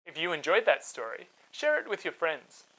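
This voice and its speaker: A man hosting and narrating a scripted story for children, with dramatic, clearly phrased delivery. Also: a little high, big pitch swings, normal speed, a bit bright, poor audio. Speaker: storyteller, host.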